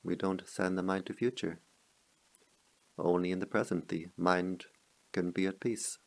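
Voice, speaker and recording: A man guiding a meditation as a teacher, speaking calmly in measured short phrases with long pauses. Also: sits low, gravelly. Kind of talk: guided meditation.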